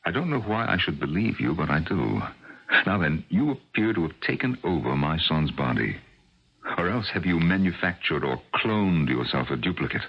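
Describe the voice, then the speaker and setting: An American actor playing a stern, suspicious middle-aged scientist father in a radio drama, speaking in a measured, formal way. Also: low voice, sing-song, husky, exaggerated voice. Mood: low, demanding. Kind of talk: 1980 radio drama acting. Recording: dull, faintly noisy old radio recording.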